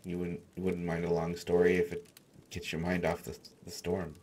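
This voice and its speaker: A man playing a gentle, awkward human artificer in a fantasy audio roleplay, stammering and hesitant as he speaks. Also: low-pitched, completely flat delivery, moderate pace, a little hiss or hum, close to an everyday voice. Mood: awkward, nervous. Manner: stammering, hesitant, soft-spoken reassurance.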